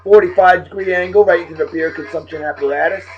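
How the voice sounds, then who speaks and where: Middle-aged New England man, hoarse and fading, hypes his casual vlog in a slightly raised voice on dull, lo-fi audio. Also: high voice, quick pace. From Massachusetts. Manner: upbeat, hype-filled and chatty, with throat clearing. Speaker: video blog host. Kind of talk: casual beer review vlog.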